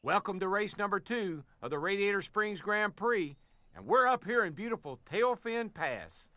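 A man's voice: sits high, expressive, normal speed, sharp tone, slight background noise. A man playing an upbeat, showy race announcer car in a video game, with an American accent and polished delivery. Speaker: race commentator. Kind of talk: sports broadcast commentary in a game.

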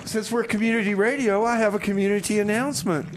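A hapless time machine mechanic in a comedy radio sketch, played by a man. The voice sits slightly high and sounds hesitant and casual, over faint background noise. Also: steady tone, fast, natural voice. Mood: easygoing announcing. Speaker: radio co-host.

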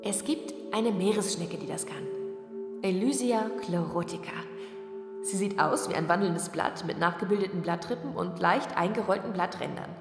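Casting: intense young female rebel experimenter played by an actress, lowish gravelly voice, dystopian audio drama. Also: moderate pace, lightly acted. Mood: earnest, excited. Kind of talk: science-fiction audio drama acting. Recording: echoey hall reverb, music underneath. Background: music.

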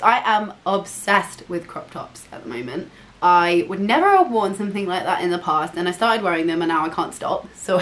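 A young woman in her mid-twenties talks through her purchases in a chatty, bubbly way, tossing in quick asides. She sits in her bedroom and speaks straight to a camera mic. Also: mid-range voice. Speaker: vlogger. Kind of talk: casual haul vlog. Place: bedroom, indoors. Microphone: camera mic, seated facing camera.

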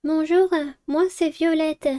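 Woman as a high-pitched, timid schoolgirl. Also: flat delivery, takes it slowly, dark tone, dry recording. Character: shy, gentle, timid teenage schoolgirl.

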